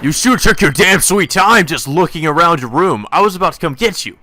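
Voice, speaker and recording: A man's voice: fairly high pitch, animated, a little fast, bright, forceful tone, no echo, soft background music, voice pushed up. A man voicing a brash, impatient student in a visual novel, pushy and blunt. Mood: brash impatience.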